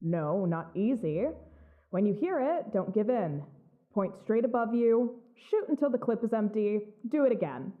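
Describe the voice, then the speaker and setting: An actress playing a cool, blunt covert operative who gives terse, authoritative instructions in an audio drama. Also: female voice, medium pitch, animated, moderate pace, phone-quality sound, natural voice. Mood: stern.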